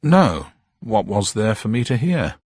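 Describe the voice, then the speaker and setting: A man voicing a gentleman detective in a story, politely bluffing his way through questions in a clipped, guarded manner. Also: lowish voice, big pitch swings, measured pace, breathy edge, no room sound, studio quality, pitched above the performer's own voice, exaggerated voice.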